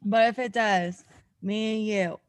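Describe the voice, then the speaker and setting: A woman co-hosting a podcast, chatting casually in animated, slangy banter. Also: mid-range voice, a little slow. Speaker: podcast co-host. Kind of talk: casual chat.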